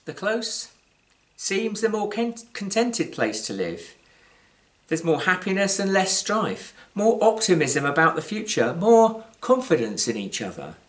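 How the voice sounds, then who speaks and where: British guy reading his own short story, voice a bit on the high side, dry humour, lots of careful pauses. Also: very animated. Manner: measured, wry, dramatic pauses with varied emphasis. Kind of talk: scripted literary storytelling, live reading.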